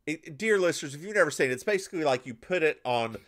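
Man, podcast co-host, casual recap, animated, does character voices, very lively pitch. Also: fast. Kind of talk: casual chat recapping a TV episode.

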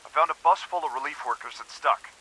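A no-nonsense cop on a game's radio channel. His voice is very sharp and forceful, fast and urgent, with slight hiss. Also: a little high, poor audio. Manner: urgent, stern. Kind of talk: video game dialogue.

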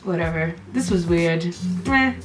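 A woman's voice: on the low side, lively intonation, measured pace, soft background music. Sarcastic young woman with Russian accent. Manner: exasperated, sarcastic, laughs often. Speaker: vlogger. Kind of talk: reaction video chat. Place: small room indoors.

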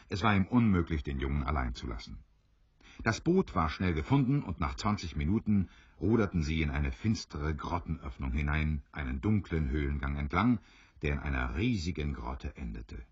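A man narrating an adventure radio play as a grave, expressive storyteller who builds suspense. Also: very deep voice, moderate pace, husky, no echo, exaggerated voice. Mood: suspenseful. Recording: slightly dull, dry studio recording.

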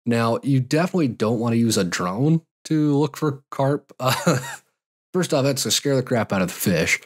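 A man in his thirties hosting a solo podcast from a home studio, answering listener questions in a relaxed, rambling way, a little raspy from a cold. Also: mid-range voice, normal speed. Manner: relaxed, rambling, frequent uh and restarts. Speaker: podcast host and fly fishing guide. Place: home studio with gear shelves. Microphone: large studio mic, headphones on.

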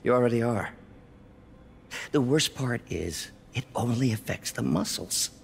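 An actor playing a middle-aged male scientist who is ailing, speaking in a gravelly, halting, solemn and confiding way in a video game. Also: mid-range voice, lively intonation, in a large hall, a little hiss or hum. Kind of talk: acted video game dialogue.

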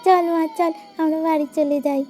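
A woman's voice: high voice, fairly even intonation, moderate pace, darkish tone, natural voice. A woman voicing a grieving madwoman who begs and coaxes a little girl, taking her for her own lost daughter. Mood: tearful urging. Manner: coaxing, pleading, tearful.

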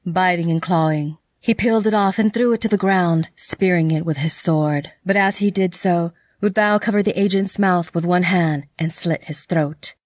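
A woman's voice: on the low side, a little mellow, dry recording. A woman reading scripted narration for an audio drama.

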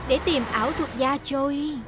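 A woman narrating a children's cartoon in a gentle, scripted storybook style. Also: high-pitched, fairly even intonation, measured pace. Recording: loud music behind the voice.

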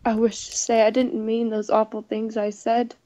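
A woman's voice: fairly high pitch, monotone, moderate pace, mellow, no echo. A woman playing and reading a visual novel aloud, mixing dramatic narration with casual commentary.

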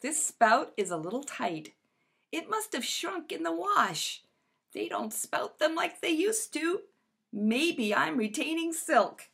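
A woman acts out a big, bumbling storybook spider with a very high voice. It is eager and easily aggrieved, exclaiming theatrically and stretching words out slowly. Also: big pitch swings, creaky, a bit bright, heavily acted. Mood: self-conscious, rueful. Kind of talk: children's picture-book read-aloud.

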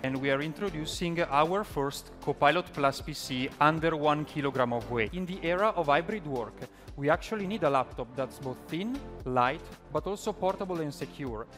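A man reads a polished, promotional product announcement with a slightly raised pitch. Clear music plays behind him the whole time, as in a launch video. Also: expressive, moderate pace, hardly any pauses, fairly bright tone.

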